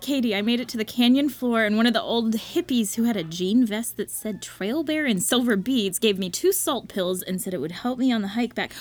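A woman's voice: fairly high pitch, a little fast, hardly any pauses, natural voice. An actress playing a flustered, earnest young woman doctor in an audio drama, hesitant and conversational. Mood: nostalgic. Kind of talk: naturalistic audio drama acting.

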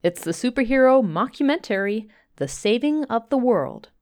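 A woman's voice: fairly high pitch, very animated, moderate pace, a little mellow. A woman hosting a radio drama show, reading a scripted introduction in a polished presenter manner. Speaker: host. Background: music.

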